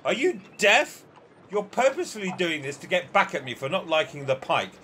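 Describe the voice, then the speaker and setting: A man playing a peevish, competitive older gentleman in an amateur radio play, with a deliberate, emphatic, easily exasperated manner. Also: high voice, big pitch swings, a little hiss or hum, exaggerated voice.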